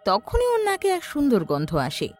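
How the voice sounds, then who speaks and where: A woman's voice: high-pitched, huge pitch swings, normal speed, darkish tone. A woman narrating a scripted horror story in a dramatic storytelling style. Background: background music.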